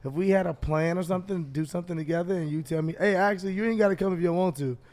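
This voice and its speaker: A man's voice: high-pitched, racing, a little mellow. A man co-hosting a podcast in casual comedic chat, blunt and profane, often riffing with acted-out quotes. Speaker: podcast co-host.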